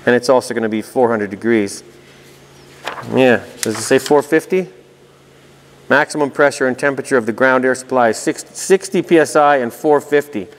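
A man's voice: mid-range voice, normal speed, a little hiss or hum. Male explainer host, mid-range voice, talks through technical diagrams conversationally with dry asides, recorded with a long reverberant tail. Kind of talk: informal technical walkthrough of diagrams, partly read aloud. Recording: reverberant room with long echo.

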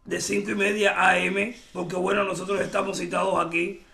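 A young woman making a live prank phone call, playing a bossy immigration official in a deadpan, officious manner. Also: lowish voice, fairly quick. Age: young adult. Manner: deadpan, officious, spelling things out. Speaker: prank caller. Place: small indoor room at a table. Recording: echoey room, clear noise, clicks.

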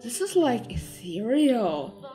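A woman's voice: fairly high pitch, sing-song, takes it slowly, music in the background. A young adult woman giving casual, gushing reaction commentary in an effusive, awestruck manner. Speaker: reaction video host. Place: small furnished living room at home.

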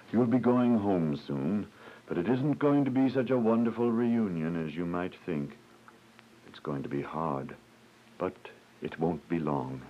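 Guy acting deep gentle fatherly man, reassuring religious radio drama, muffled n bit noisy. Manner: tender, exhorting, reassuring. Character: Tom, gentle, fatherly, devout comforter and mysterious visitor.

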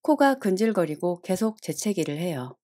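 A woman reads lesson prompts at a very quick clip, her pitch moving lively up and down. Her voice is mellow and soft-edged, and the delivery stays brisk and even. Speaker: Korean prompt reader.

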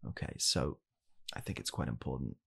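A young man playing a blunt, callous father in an ASMR comedy roleplay, speaking softly and haltingly in a deadpan way. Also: extremely low pitch, vocal fry, breathy edge, exaggerated voice. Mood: deadpan blaming. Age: young adult. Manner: soft-spoken, halting, deadpan. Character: blunt, callous father calmly blaming his child. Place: small home room, indoors.

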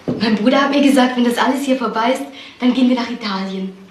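Woman as flirty chatterbox sister, higher voice, breezy, dreamy, echoey room, radio crime drama. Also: fast talker, lightly acted. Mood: dreamy, eager. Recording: echoey room, faint noise.

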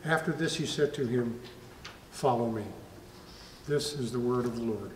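A man's voice: medium pitch, moderate pace. An older man reading scripture aloud in church. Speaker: scripture reader. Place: church room at a wooden lectern. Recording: echoey church hall, distant mic, faint noise.

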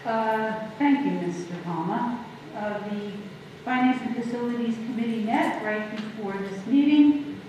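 A woman board member giving committee reports at a public meeting, steady with some fillers. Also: a little high, measured pace, slight background noise. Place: large public meeting room. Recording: echoey hall from far off.

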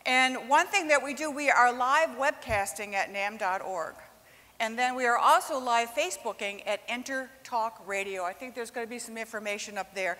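A woman's voice: a little high, moderate pace, pushed and bright. A woman in her sixties hosting a live event on stage, upbeat and chatty, speaking into a handheld mic. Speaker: host. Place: large indoor stage. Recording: stage mic in a big hall.

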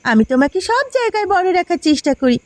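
A woman's voice: extremely high pitch, big pitch swings, fast, dry recording. A woman voicing a proud, protective village housewife in a cartoon, nagging and emphatic as she speaks. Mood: indignant. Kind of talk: cartoon dialogue.

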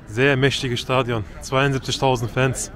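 A man's voice: medium pitch, moderate pace. Young man vlogging from a roaring stadium. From Germany. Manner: switches between German and Turkish, excited and chatty. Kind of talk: casual vlog with street interviews. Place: crowded football stadium and city streets at night. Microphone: phone camera at arm's length. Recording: loud crowd and music behind. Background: chanting crowd and loud music.

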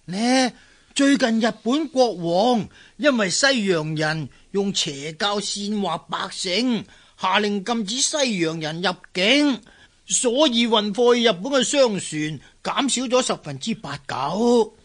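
Worldly, friendly male trader for radio drama; high-pitched, coaxing, explanatory. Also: animated, takes it slowly, a bit bright, exaggerated voice. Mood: coaxing, informative. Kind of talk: Cantonese radio drama acting.

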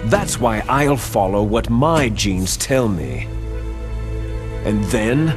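An actor playing a bitter, fanatical villainous clone soldier in a video game, arrogant, menacing and condescending, with drawn-out theatrical phrasing. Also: male voice, on the low side, animated. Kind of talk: video game cutscene acting. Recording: music behind the voice.

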